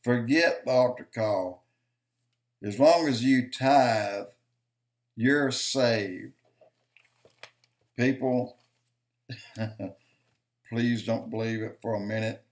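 An older man giving slow, emphatic preaching-style commentary to a webcam in his home office, counting off points and repeating lines for stress. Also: medium pitch. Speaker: video commentator.

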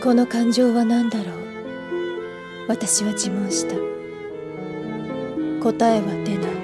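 A woman's voice: a little high, fairly even intonation. A melancholy, introspective young woman, music behind. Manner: solemn, deliberate. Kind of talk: literary monologue in audio drama.

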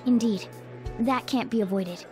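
A woman's voice: fairly high pitch, measured pace, music in the background, natural voice. A woman voicing a small, self-assured male cat who talks like a bossy, pompous mentor in a video game. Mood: confident, explanatory. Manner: pompous, instructive. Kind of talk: English video game voice acting.

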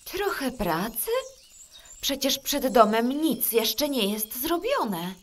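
A woman doing a kind, lively fairy. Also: a little high, takes it slowly, no room sound, heavily acted. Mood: reassuring. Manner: lively, reassuring. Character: kind female fairy, storyteller.

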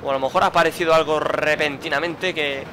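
A man's voice: on the high side, steady tone, fast talker, noisy background. A man commenting his own gameplay, muttering, grumbling and swearing at the game. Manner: frustrated, swearing, muttering to himself. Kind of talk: let's play commentary.

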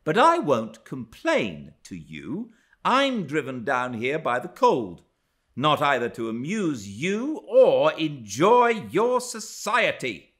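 A man's voice: on the high side, huge pitch swings, slow pace, a bit bright, voice pushed up, heavily acted. A man voicing a proud, scornful young lady in an audiobook, with a biting, indignant manner. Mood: bitter disdain. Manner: haughty, disgusted, biting. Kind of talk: dramatised audiobook reading of a classic novel.

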